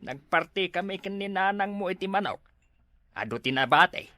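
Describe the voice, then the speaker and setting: Woman acting sharp, chiding in-law, very animated. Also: mid-range voice, normal speed, dry recording. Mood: disapproving. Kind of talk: Ilocano comedy drama acting.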